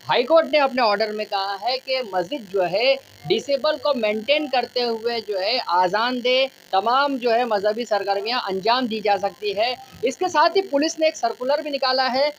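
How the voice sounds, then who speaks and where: High-voiced woman news reporter. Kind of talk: news reporting, interviewing locals. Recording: noisy on-location news audio.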